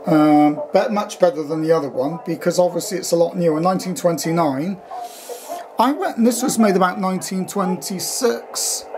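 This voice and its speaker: A man's voice: sits high, moderate pace. A middle-aged man giving an informal, rambling, matter-of-fact home demonstration as a hobbyist, filming on a handheld camera. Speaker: vintage radio hobbyist presenting own collection. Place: room at home, indoors. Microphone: handheld camera, speaker behind it. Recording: handheld camera audio with clear hum noise. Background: electrical hum from old radio equipment.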